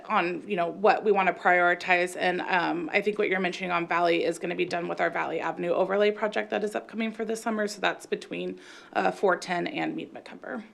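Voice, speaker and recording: An American woman gives an explanatory presentation as a city staffer. Her voice sits slightly low for a woman, with noticeable creak at the edges.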